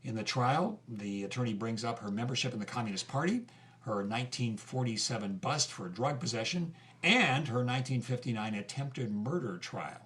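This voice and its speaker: An actor playing an engaged investigator telling a story in a conversational, podcast-like narration. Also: male voice, mid-range voice, normal speed, fairly bright tone, natural voice. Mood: intrigued.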